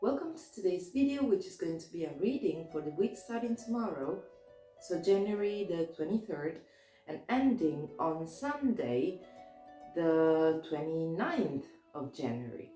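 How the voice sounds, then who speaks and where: A woman with a sore throat talks through a tarot reading in a loose, chatty way, often stopping and restarting her sentences. Her voice is a little hoarse and sits on the low side. The room echoes, and soft music plays in the background. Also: very animated, unhurried. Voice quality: slightly hoarse. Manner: chatty, hesitant, many restarts. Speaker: tarot reader. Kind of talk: casual weekly tarot reading.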